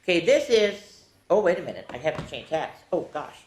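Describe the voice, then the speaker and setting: Very animated, echoey woman reading to children. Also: fairly low pitch, a little hiss or hum. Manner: playful, theatrical, drawn-out words on story lines. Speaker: children's storytime host. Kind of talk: animated picture-book read-aloud with casual asides. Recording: echoey, roomy sound.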